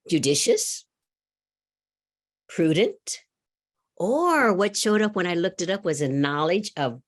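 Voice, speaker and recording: A middle-aged woman giving a sermon, loud and animated, laughing often and telling a story. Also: sits low. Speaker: speaker at a church service.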